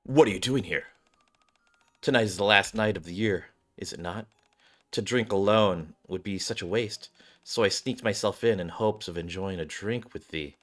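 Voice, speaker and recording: A man's voice: fairly low pitch, moderate pace, lightly acted. A man voicing a carefree, poetic swordswoman from a game in flowery old-fashioned diction. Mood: carefree, courtly. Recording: background game music.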